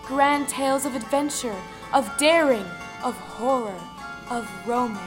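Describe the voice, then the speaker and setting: A woman's voice: high-pitched, measured pace. A woman reading scripted folktale narration for an audio drama. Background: music.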